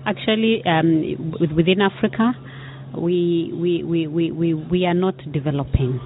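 A woman's voice: lowish voice, a little hiss or hum. Earnest woman on a narrow phone-like line. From Kenya. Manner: earnest, halting, many fillers and repeated words. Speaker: tourism board representative. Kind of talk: radio interview answers.

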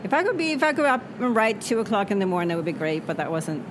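Give me a woman with Swedish as her first language, speaking thoughtfully over clear background traffic noise. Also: medium pitch, very animated, fast talker. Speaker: author guest. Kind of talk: interview conversation. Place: noisy spot near traffic.

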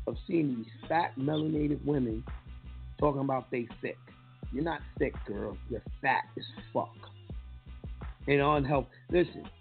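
A man's voice: on the high side, creaky. A man with a New York accent hosting a talk radio show, blunt and profane in his opinions. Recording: lo-fi phone-line sound with faint music.

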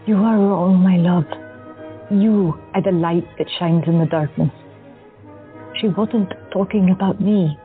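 A woman's voice: fairly low pitch, steady tone, unhurried, soft background music, close to an everyday voice. An actress playing a young woman with visions who is wry and affectionate in an audio drama. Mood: loving. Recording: phone-like lo-fi.

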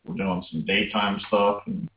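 A man's voice: fairly low pitch, very animated, unhurried. A man talking casually as a guest on a radio show, rambling with many fillers. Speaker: guest vendor. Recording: distant, roomy, phone-like line.

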